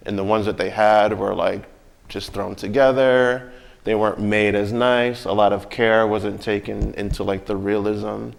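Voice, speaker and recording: A man's voice: fairly low pitch, level tone, unhurried, creaky. A man with an American accent talking casually and reflectively, often checking that the listener agrees. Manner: conversational, often seeking agreement with tag questions.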